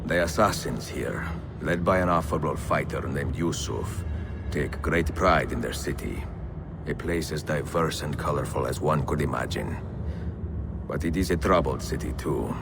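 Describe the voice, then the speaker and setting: An actor with an Italian accent playing a dignified, calm and resolute middle-aged master assassin in a video game. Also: male voice, extremely low pitch, moderate pace, over music. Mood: measured, reflective. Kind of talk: video game dramatic acting. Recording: game audio with clear background noise.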